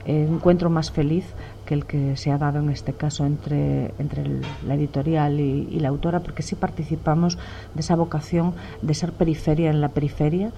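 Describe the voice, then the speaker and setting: Low-voiced woman, measured answers. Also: little pitch movement, creaky, dark tone, lo-fi sound, a little hiss or hum. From Galicia. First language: Spanish. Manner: measured, reflective, many "eh" pauses. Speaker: novelist, interviewee. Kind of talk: thoughtful interview answers.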